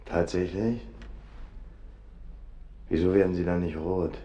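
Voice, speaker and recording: Deep-voiced, sardonic jailed bomber. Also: male voice, slow, mellow, lo-fi sound. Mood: mocking, defiant. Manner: sardonic, mocking, contemptuous. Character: bitter, defiant male bomber, Vietnam veteran in jail. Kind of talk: 1970s German radio crime drama acting.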